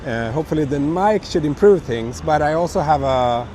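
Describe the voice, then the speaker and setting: A man with a hoarse, slightly broken voice talks in a relaxed, chatty way as he hosts a live walk. He is recorded outdoors on a small clip-on mic, with city traffic clearly audible behind him. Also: a little high, moderate pace. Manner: relaxed, chatty, reads out viewer comments. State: hoarse from a cold. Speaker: livestream host. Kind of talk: live walking stream chat. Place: busy city streets outdoors at night. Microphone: small wireless clip-on mic. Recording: outdoor street noise. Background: city traffic and street noise.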